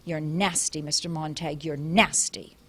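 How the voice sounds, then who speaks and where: A woman voicing a scornful, prim middle-aged housewife who talks in a shrill, indignant way. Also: fairly even intonation, moderate pace, a bit bright, husky. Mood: accusing.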